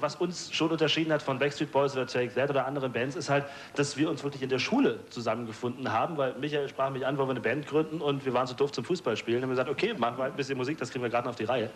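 A man's voice: mid-range voice, steady tone, rapid-fire, few pauses, slight background noise. A middle-aged man from Berlin, a studio guest telling his story in a rambling way into a handheld mic. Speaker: studio guest, former band member. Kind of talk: interview answers. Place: TV studio audience seating.

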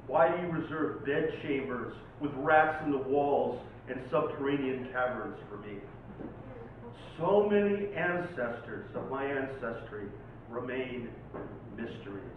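A man performs as a poet at a live reading, his delivery dramatic and very unhurried. He is picked up from some distance in a heavily echoing space. The sound is thin and tinny. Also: mid-range voice, expressive. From Oregon, United States. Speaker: poet reading own poems. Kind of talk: live poetry reading with informal introductions. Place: live poetry night venue, recorded from a distance. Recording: tinny, very echoey, distant, faint noise.

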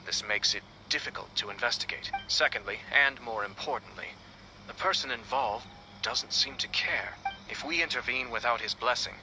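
An actor playing a composed, courteous young nobleman in a video game, speaking in a formal, measured way. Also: mid-range voice, background noise, natural voice.

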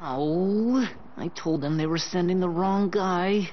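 A man's voice: high voice, takes it slowly, muffled recording, a little hiss or hum. A man playing a flippant young cloaked villain in a video game, with a lazy, theatrical delivery. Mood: whiny, flippant.

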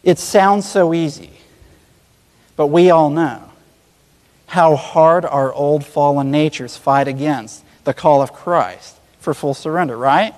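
High-voiced man preaching in a hall. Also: slow, darkish tone. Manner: earnest, emphatic, asks rhetorical questions. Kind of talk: sermon.

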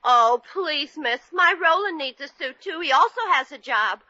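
A woman's voice: high-pitched, animated, normal speed, pushed and bright, no echo, muffled recording. An actress playing a crooked, bossy, doting elderly mother in 1940s radio comedy, with colloquial, comically exaggerated delivery on an old recording. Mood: wheedling.

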